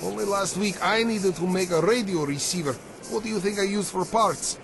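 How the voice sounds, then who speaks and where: A man playing a gruff, griping repairman in a post-apocalyptic video game, talking in a colloquial, grumbling way. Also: sits high, a little fast, noisy background. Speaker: mechanic character. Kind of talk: video game character dialogue. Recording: music behind the voice.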